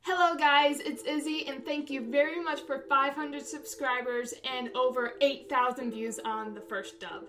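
A woman's voice: high voice, fairly even intonation, moderate pace, few pauses, a bit bright. A young woman hosting a channel, giving scripted announcements to camera in a bedroom. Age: young adult. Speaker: channel host and dub director. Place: bedroom, indoors. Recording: distant camera pickup under music. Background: background music.

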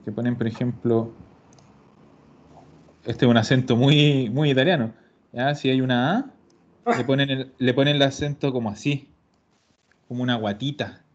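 A man teaching Italian in an online class, patient and explaining slowly, repeating words. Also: medium pitch, darkish tone. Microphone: video call. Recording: dull lo-fi call audio.

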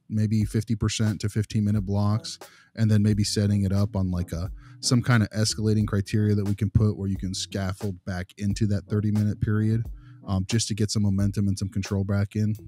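A man playing a patient behavior consultant who gives feedback in a halting, rambling, reassuring, conversational way. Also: lowish voice, level tone, dark tone, natural voice. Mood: encouraging. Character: male behavior consultant, patient, diplomatic, encouraging. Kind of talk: conversational role-play of a consultation.

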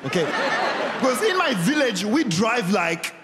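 A young man from Zimbabwe with a Zimbabwean accent, whose first language is not English, doing an animated stand-up set on a big talent show stage with a handheld mic, shouting punchlines and laughing between lines. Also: high voice, takes it slowly. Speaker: stand-up comedian. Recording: loud music and crowd behind. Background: cheering crowd and show music.

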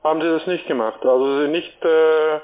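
Male phone sales agent, formal and explanatory, slightly raised pitch, narrow telephone sound. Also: steady tone, moderate pace. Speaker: call centre sales agent.

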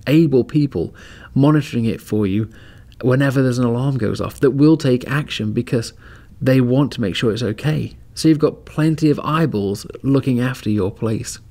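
A man's voice: mid-range voice, warm tone. A man hosting a podcast, chatting casually as he walks through a home security camera demonstration and gives advice. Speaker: podcast host. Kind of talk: casual product demo and advice talk. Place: outdoors at home driveway, then indoors.

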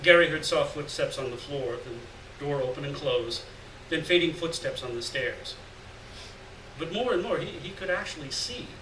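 A man's voice: fairly high pitch, normal speed. Middle-aged man reading fiction in a noisy room. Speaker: reader of short fiction. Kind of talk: live literary story reading, scripted narration. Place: indoors at a lectern in a gallery room. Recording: roomy, distant, noisy live room recording.

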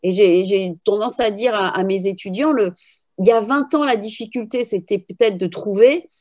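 A woman's voice: mid-range voice, normal speed, a little mellow. A middle-aged woman giving spontaneous explanations in an interview on a video call, thinking aloud with frequent little tags. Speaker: philosophy teacher and trainer, interviewee. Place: room at home with bookshelves, indoors. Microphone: video call, computer microphone.

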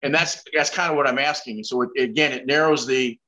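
A man's voice: mid-range voice, steady tone, a little fast, bright, forceful tone, low-quality recording. An older man hosting a casual interview over a video call, asking rambling questions and making self-deprecating jokes. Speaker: host.

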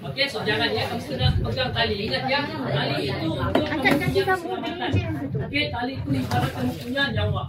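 A woman's voice: high-pitched, very fast, pauses often. A woman guide giving a firm safety briefing to snorkelers, repeating her instructions. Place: on a boat at sea. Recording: noisy boat with a crowd around.

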